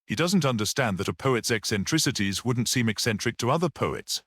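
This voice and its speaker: A man voicing a mild, absent-minded, good-humoured priest in a mystery audiobook, musing and gently explanatory. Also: medium pitch, animated, a little fast, dry recording, studio quality, voice pushed up. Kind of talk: audiobook character reading.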